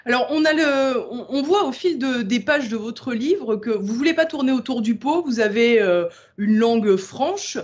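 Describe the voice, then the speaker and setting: A woman hosting an interview, putting questions in a polite, measured way with some hesitant phrasing. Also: fairly high pitch, breathy edge. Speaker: interviewer, host.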